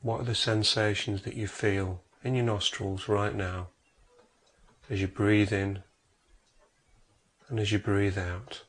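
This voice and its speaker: A man with a fairly low voice leads a guided meditation. He speaks very slowly, giving measured instructions and leaving long pauses between phrases. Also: little pitch movement, breathy edge.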